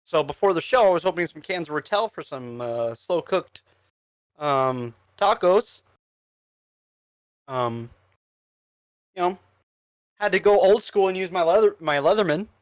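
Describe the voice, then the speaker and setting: Podcast co-host, lively swinging pitch, halting flustered delivery, casual film chat, close dry recording. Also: no echo. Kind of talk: casual chat reviewing films on a livestream podcast.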